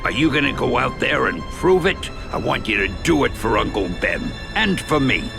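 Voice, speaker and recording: Gravelly, lively old mentor. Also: male voice, medium pitch, normal speed, over music. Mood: rousing, fond. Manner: folksy, animated, encouraging. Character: kindly old male mentor, folksy and encouraging. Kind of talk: video game dramatic acting.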